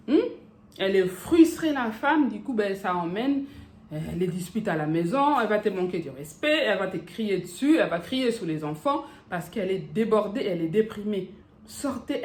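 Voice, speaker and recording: A woman's voice: mid-range voice, a little mellow, echoey room. A woman from Africa giving emphatic family advice to camera and checking in with her listeners. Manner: emphatic and preachy, checks in with "d'accord?"; ends with blessings in a sermon-like cadence.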